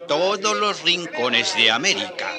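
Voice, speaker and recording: Lively, soothing companion. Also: male voice, a little high, unhurried, crowd noise. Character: genial, soothing companion of an old friar. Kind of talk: radio drama acting.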